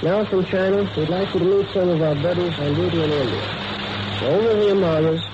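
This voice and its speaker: A man's voice: high-pitched, fairly quick, noisy background. A man from Kansas, a soldier overseas, reading a scripted greeting over a muffled 1940s radio relay. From Kansas, United States.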